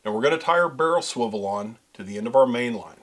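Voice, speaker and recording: A man's voice: mid-range voice, expressive, fast talker, fairly bright tone. Middle aged guy explaining tackle step by step, calls people folks, lapel mic in a small studio. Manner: step-by-step instructive, addresses viewers as folks and guys. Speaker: fishing pro staffer and co-host. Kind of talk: how-to demonstration. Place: small studio set with backdrop.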